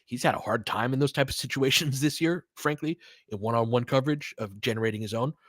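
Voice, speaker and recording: A man calls basketball in a casual, conversational way, mixing analysis with short, punchy play-by-play. His voice has a medium pitch and his wording is slangy. The sound is dry, with very little room in it. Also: quick pace, no echo.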